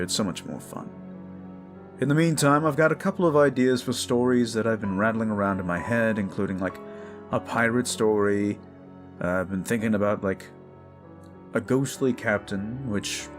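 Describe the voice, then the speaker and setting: Casual man with a cold, music behind. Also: lowish voice. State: under the weather with a sore throat and runny nose. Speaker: audio roleplay creator. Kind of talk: casual unscripted update to listeners.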